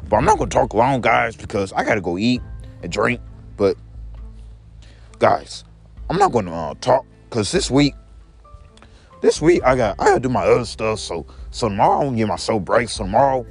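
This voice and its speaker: A young man with a deep voice talking in a rambling daily vlog, repeating each point in an if-you, to-me pattern and calling his viewers guys. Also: gravelly. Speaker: vlogger.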